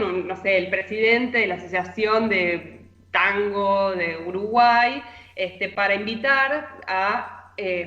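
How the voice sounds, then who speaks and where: A woman's voice: mid-range voice, a bit bright. A woman with an Argentine accent giving a chatty, informal radio column full of anecdotes as a literary columnist. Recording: echoey, slightly dull remote audio.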